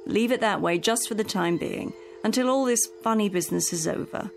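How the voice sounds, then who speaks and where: A woman's voice: medium pitch, brisk, creaky, faint music behind, lightly acted. An actress playing a practical female companion in a radio drama, patient, coaxing and reasoning. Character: practical female companion soothing an elderly employer.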